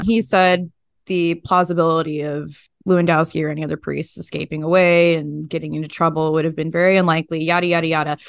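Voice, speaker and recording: A woman's voice: sits low, clear, resonant tone, no room sound, clean studio sound. A woman hosting a podcast interview, asking questions in a casual, informal, colloquial way. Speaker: podcast host.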